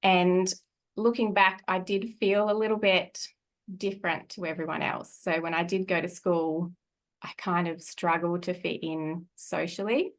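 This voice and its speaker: A woman in her forties from country New South Wales with an Australian accent, a podcast guest telling her life story in a relaxed, reflective way with frequent laughs and fillers. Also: on the low side, unhurried. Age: 40s. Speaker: guest, energy healer. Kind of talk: casual conversational storytelling.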